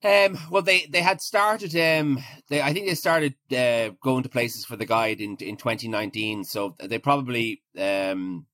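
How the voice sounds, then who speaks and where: An Irish man in his forties talking casually as an interview guest, halting and often repeating his words. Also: medium pitch, sharp tone, dry recording, clean studio sound. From Ireland. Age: 40s.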